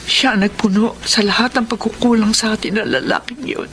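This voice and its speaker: A woman's voice: a little high, fairly even intonation, fairly quick, noisy background, lightly acted. A woman playing an anxious, protective middle-aged mother in an emotional Filipino radio melodrama. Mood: solemn, tender. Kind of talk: Filipino radio drama acting.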